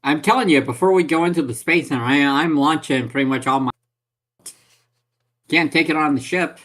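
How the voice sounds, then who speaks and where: Higher-voiced man playing a tabletop game. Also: moderate pace, a bit bright. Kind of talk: casual in-game table chat.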